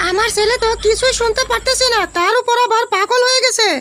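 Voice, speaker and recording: Extremely squeaky cartoon mother. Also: steady tone, fast talker, background noise. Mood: distraught. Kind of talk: comedy cartoon dub.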